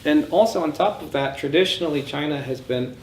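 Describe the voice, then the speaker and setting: A man's voice: on the high side, a little fast. A man giving an informal lecture, thinking aloud in a conversational and opinionated way. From born in Bulgaria, grew up in several countries. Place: indoors in a session room. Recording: echoey room, faint background noise.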